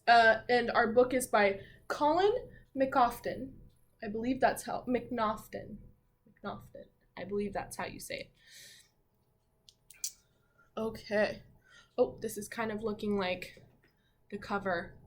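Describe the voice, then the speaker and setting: A woman teacher reading a picture book aloud to children, expressively, with dramatic pauses and her own thoughts along the way. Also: on the high side. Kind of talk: children's read-aloud with commentary.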